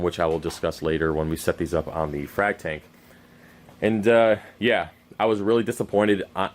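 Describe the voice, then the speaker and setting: A worn-out man who is losing his voice and whose throat is going scratchy. He hosts his aquarium channel with a loose, rambling, unscripted update full of fillers and restarts, recorded on a camera mic as he walks around his cluttered home fish room. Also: low-pitched. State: exhausted. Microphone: camera mic while walking around.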